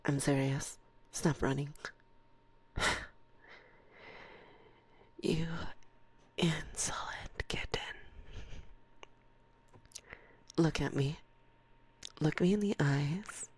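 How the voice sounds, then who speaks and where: A woman plays an assertive forest witch in a close binaural ASMR roleplay. Her voice is low and husky, often slipping into a soft whisper. She speaks slowly and deliberately, a stern, teasing mentor who is condescending yet fond. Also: no room sound, exaggerated voice. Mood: stern, warning. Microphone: close binaural microphone.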